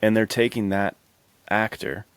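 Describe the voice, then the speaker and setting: A man with a very low-pitched voice and plenty of gravelly creak. He talks as a podcast co-host in loose, casual movie chat, full of slangy enthusiasm. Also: unhurried, dry recording.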